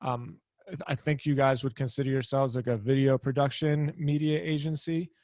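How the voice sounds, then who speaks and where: A man's voice: mid-range voice, moderate pace, dry recording. Friendly male host from Philly, casual and laughing easily, remote interview over a video call. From Philadelphia area. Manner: relaxed and friendly, laughs often. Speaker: podcast host.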